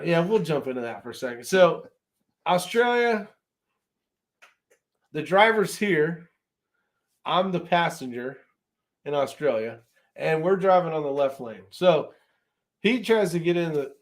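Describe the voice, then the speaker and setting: Casual male host, slightly higher-pitched voice. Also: lively intonation, unhurried, pauses often. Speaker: host of a shooting live chat show. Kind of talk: casual live-stream chat, greeting viewers.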